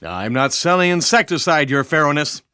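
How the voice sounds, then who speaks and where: A man's voice: a little high, big pitch swings, moderate pace, bright, forceful tone, clean tone, dry recording, clean studio sound. A man playing a glib, squeamish shapeshifting alien in a comedic audio drama, chatty and fussy. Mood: eager salesman. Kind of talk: comedic audio theatre acting. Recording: music behind the voice.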